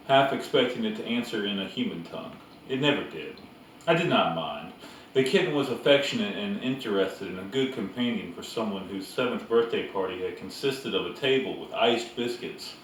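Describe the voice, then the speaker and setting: Echoey, low-voiced man reading a story. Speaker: narrator reading a novel aloud. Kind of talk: storybook read-aloud narration. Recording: echoey room, distant mic, background noise.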